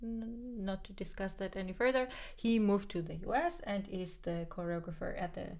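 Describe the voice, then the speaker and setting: A woman's voice: mid-range voice, normal speed, a crowd in the background. A woman whose first language is Georgian, co-hosting a podcast in casual, frank chat, with many fillers and repeated words. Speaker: podcast co-host, pianist.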